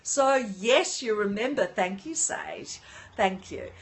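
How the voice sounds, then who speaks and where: An older woman hosting a casual livestream from her apartment on her phone, often pausing to greet and thank viewers. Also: mid-range voice, big pitch swings, gravelly. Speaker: livestream host. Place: at home in an apartment.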